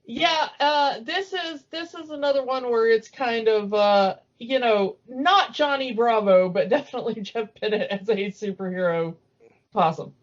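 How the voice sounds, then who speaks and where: A woman's voice: on the high side, normal speed. A woman co-hosting a podcast, chatting casually about cartoons, recorded in dull lo-fi audio. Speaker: podcast co-host.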